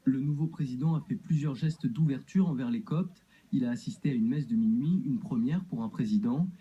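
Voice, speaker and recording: A man narrating a scripted TV news report in an even, measured way. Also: medium pitch, slightly rough, highs cut off.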